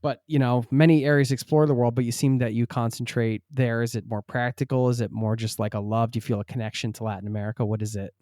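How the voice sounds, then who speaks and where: A man's voice: mid-range voice, level tone, quick pace, hardly any pauses, mellow, dry recording, studio quality. A man hosting a podcast, joking and asking questions in a playful way. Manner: joking, playful, laughs often. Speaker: podcast host. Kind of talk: casual interview chat.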